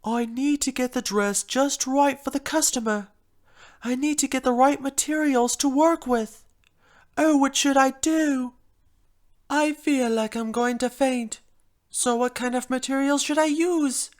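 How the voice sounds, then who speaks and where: A woman's voice: a little high, measured pace, lightly acted. A woman voicing a refined, fretful female pony fashion designer with a theatrical, genteel manner in a first-person story. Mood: panicked, dramatic. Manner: theatrical, genteel, uses "darling". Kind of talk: first-person fan story narration in character.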